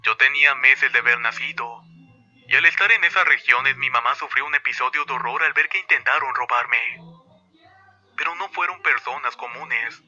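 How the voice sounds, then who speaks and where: Brief: male storyteller, very bright and sharp tone, steady measured reading, soft music underneath. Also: mid-range voice, muffled recording. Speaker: narrator. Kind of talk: scripted narration of a horror story.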